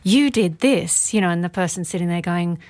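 Female podcast interviewer, lower register, informal and personal delivery, dry studio-like sound. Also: moderate pace, a little mellow, dry recording. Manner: informal, personal, loosely phrased. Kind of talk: casual conversational interviewing.

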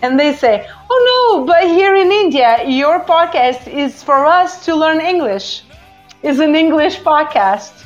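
A woman from Portugal with a Portuguese accent, a native Portuguese speaker, hosting a casual live call-in show in a halting way with repeated words. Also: high voice, expressive. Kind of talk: casual live chat with listeners. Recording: dull lo-fi app audio with music. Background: music.

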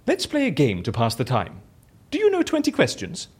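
A man's voice: a little high, wildly swooping pitch, normal speed, husky, heavily acted. A man acting in an audio drama as a bored, boastful, theatrical raconteur who talks chattily and self-importantly. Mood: bored, restless. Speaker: lead character in audio fiction.

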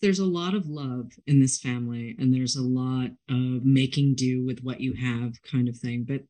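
A woman hosting a book interview, chatting enthusiastically in an effusive, expressive and rambling way. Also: very deep voice, moderate pace. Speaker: interviewer, book show host.

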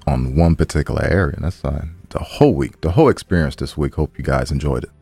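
A man's voice: rumbling low, quick pace, gravelly, mellow, husky, no room sound. A man co-hosting a podcast in casual chat, laid-back and slangy. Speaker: podcast co-host and sound designer.

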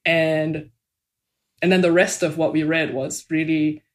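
A woman's voice: deep voice, little pitch movement, measured pace. A woman, talking casually as an interviewed author and filling her speech with "like" and "um". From Singapore. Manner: chatty, many "like" and "um" fillers. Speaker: author guest.

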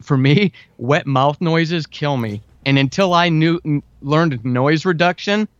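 A man's voice: fairly high pitch, measured pace, no echo. A man speaking as a podcast guest, giving informal, conversational advice step by step in a casual interview. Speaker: podcast guest, writer and audio drama podcaster. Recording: dull, lo-fi, dry close sound.

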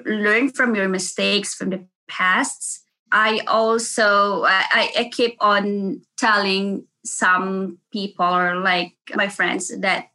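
A woman's voice: medium pitch, sharp tone, poor audio. A woman nurse from the Philippines with a Filipino accent, speaking earnestly in a casual interview, often restarting her sentences. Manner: earnest, with frequent restarts and laughs.

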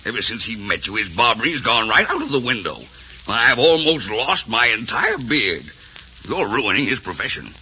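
An actor playing a huffy, blustering but soft-hearted gentleman in 1940s radio style. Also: mid-range voice, sing-song, normal speed. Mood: indignant. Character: gruff, grumbling gentleman, indignant but secretly soft-hearted. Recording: muffled, slightly noisy 1940s radio recording.

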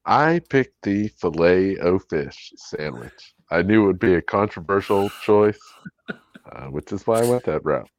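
A man's voice: deep voice, lively intonation, unhurried, no echo. A laid-back man chatting on a podcast, blunt and casually swearing. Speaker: podcast panelist. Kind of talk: casual chat.

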